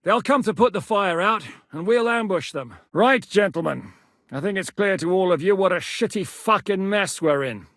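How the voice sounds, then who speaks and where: An actor playing a gruff, blunt one-eyed male commander who swears freely and jokes dryly in a video game. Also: high voice, expressive, moderate pace, a bit bright, dry recording, studio quality. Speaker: military commander.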